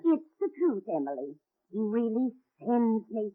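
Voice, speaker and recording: Through a tinny, heavily noisy vintage radio recording comes an excitable older lady fan. Her pitch is raised and she swoons and gossips as in 1940s radio comedy. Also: measured pace. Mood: swooning, gushing. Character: gushing, excitable older female admirer of a star.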